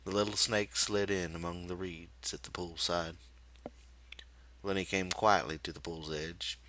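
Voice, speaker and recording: A man reading literary fiction aloud as an audiobook narrator, with measured, deliberate phrasing. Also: low voice, steady tone, pushed and bright, slight background noise. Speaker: audiobook reader.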